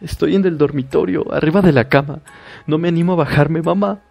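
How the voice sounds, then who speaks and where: Hombre imitando a una joven aterrada. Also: male voice, on the high side, fairly quick, creaky, a little mellow. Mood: panicked. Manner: panicked, stammering, pleading. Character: frightened young woman, a daughter.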